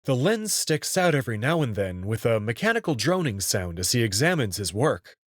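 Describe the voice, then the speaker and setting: Male narrator, lively intonation, slightly raspy, scripted storytelling, dry close sound. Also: mid-range voice, a little fast, dry recording. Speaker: narrator and channel host.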